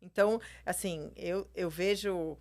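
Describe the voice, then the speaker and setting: A woman's voice: on the low side, steady tone, measured pace, creaky, darkish tone. A Brazilian Portuguese-speaking woman, a plastic surgeon, explaining her views in a casual interview, full of fillers and tag questions. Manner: explanatory, frequent filler words, tags questions with "né" and "entendeu".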